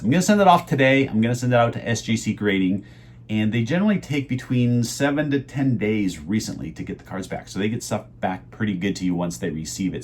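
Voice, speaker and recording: A middle-aged man casually rambling in a home vlog about his reseller sales, chatty and meandering with many fillers, his voice going hoarse. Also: medium pitch, darkish tone. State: losing his voice. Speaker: eBay reseller vlogger.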